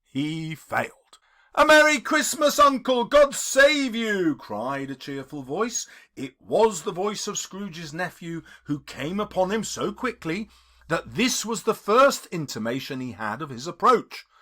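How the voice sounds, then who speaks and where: A male audiobook narrator with a fairly high, very expressive voice. He reads literary prose theatrically, taking his time and leaning on key words with emphasis. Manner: theatrical, emphatic, measured phrasing. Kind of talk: dramatic scripted literary narration.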